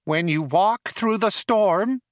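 Nasal, high, relentlessly chirpy computer. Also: male voice, sing-song, unhurried, pushed and bright, smooth, no echo, studio quality, heavily acted. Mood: crooning, wailing. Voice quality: nasal, whining. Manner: crooning, wailing, over-familiar. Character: chirpy, relentlessly cheerful male ship's computer, singing mawkishly.

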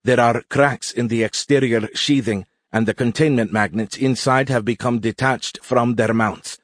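A man's voice: medium pitch, steady tone, moderate pace, dry recording, clean studio sound, natural voice. A man voicing a weary, blunt young female alien cadet in an audiobook, speaking formally and with emphatic insistence. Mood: exhausted, adamant.

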